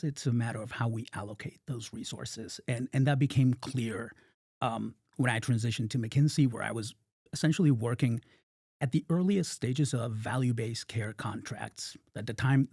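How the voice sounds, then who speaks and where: A middle-aged man from Argentina, a company founder giving measured, thoughtful interview answers. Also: medium pitch, creaky, darkish tone, slightly rough, dry recording, studio quality. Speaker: startup founder and guest.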